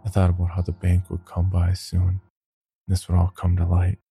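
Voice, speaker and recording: Male narrator for first-person horror fiction: very low register, unhurried, somber, faint music underneath. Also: completely flat delivery, gravelly, dark tone, breathy edge. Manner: slow, deliberate, somber delivery.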